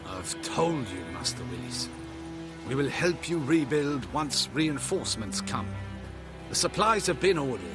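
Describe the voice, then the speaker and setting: A stern occupying officer in a fantasy game. His mid-pitched voice is formal and clipped, with lively swings. Music plays behind. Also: a little slow. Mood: weary, dismissive. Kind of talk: video game acting.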